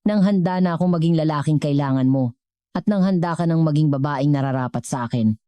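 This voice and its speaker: Woman doing a devoted middle-aged businessman husband in a story, deep mellow voice, sincere. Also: fairly quick, clear, resonant tone, no echo, lightly acted. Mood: candid, tender. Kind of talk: scripted storybook dialogue.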